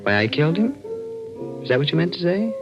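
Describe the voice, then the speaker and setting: An actor playing an eerie, menacing, lovestruck seer with a deliberate, courtly manner in a 1940s radio mystery. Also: male voice, fairly high pitch, very animated. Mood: proud, intense. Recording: dull old radio recording, loud music.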